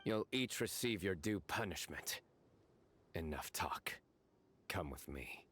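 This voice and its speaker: An actor playing a cold, stern lawman in a video game, speaking in a measured, curt, deadpan way. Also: deep voice, slight background noise, full character voice. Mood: cold, menacing. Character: stern, cold male law enforcer, calmly menacing.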